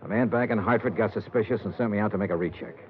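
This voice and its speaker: An American actor playing a hard-boiled insurance investigator in 1950s radio detective style, terse, world-weary and bitter. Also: male voice, on the low side, racing, close to an everyday voice. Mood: terse, reluctant. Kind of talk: 1950s radio detective acting and first-person narration. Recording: dull, noisy 1950s radio recording.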